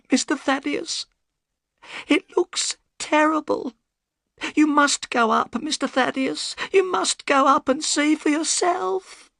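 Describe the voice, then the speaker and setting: This is a terrified old housekeeper, put on by a storybook reader. The voice sits high and quavers as she begs for help, drawn out and slow. It is recorded dry and close. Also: creaky, fairly bright tone, dry recording, heavily acted. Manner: pleading, high and trembling. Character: frightened old female housekeeper.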